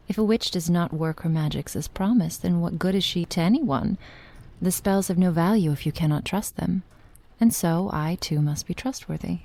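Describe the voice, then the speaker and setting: Woman acting a low, creaky, doting witch. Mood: calm, possessive. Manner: soft, unhurried, affectionate, often dropping to a whisper. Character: possessive, doting witch, seductive and softly menacing. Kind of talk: intimate ASMR roleplay.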